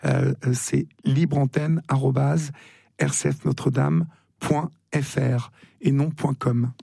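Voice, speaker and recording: A man hosting a live call-in radio show, talking gently and politely with many hesitations and fillers. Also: medium pitch, fairly even intonation, takes it slowly, creaky, slightly rough, no room sound, studio quality. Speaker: radio talk show host. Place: radio studio. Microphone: studio microphone.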